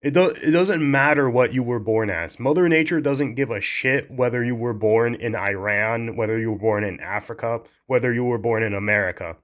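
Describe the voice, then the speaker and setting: Young male commentator, mid-pitched, heated casual rant, swears, restarts lines. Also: normal speed, hardly any pauses. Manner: heated, blunt, swears freely, restarts sentences.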